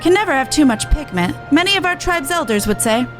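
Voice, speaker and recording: A woman's voice: sits high, big pitch swings, brisk, over music. A woman voicing an earnest, dutiful young tribeswoman and resistance veteran in video game dialogue. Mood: friendly, grateful.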